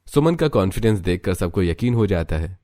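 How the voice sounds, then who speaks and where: A man's voice: sits low, sing-song, fairly quick, warm tone, soft background music. A man narrating a scripted cartoon story as its storyteller.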